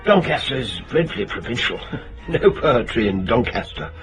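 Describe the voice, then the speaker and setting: A man's voice: medium pitch, very animated, moderate pace, fairly bright tone, faint music behind. A British actor playing a genteel, evasive male schoolteacher in a radio drama, with a formal, precious and hesitant way of speaking. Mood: genteel, reminiscing. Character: genteel, evasive schoolteacher courting his female investigator.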